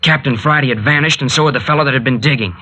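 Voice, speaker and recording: A man's voice: medium pitch, very fast, pushed and bright, lightly acted. An actor playing an earnest, bold young American man with an urgent manner in a 1940s radio drama. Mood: earnest, uneasy. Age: young adult.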